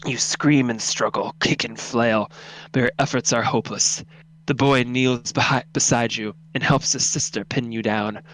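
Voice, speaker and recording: A man's voice: mid-range voice, steady tone, normal speed, a bit bright, low-quality recording. A man narrating a second-person horror story in a dramatic, solemn, intense reading.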